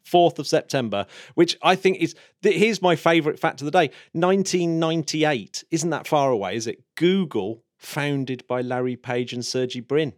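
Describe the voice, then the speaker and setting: A man hosting a space podcast in a casual, chatty way, laughing often and throwing in quick asides. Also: fairly high pitch, dry recording, clean studio sound. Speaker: podcast host. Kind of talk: casual chat.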